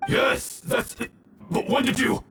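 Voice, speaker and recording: A man playing a gloating, sly bandit boss in a video game, taunting with theatrical, drawn-out threats. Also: lightly acted. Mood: panicked, indignant. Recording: echoey, distant, loud music bed. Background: game music.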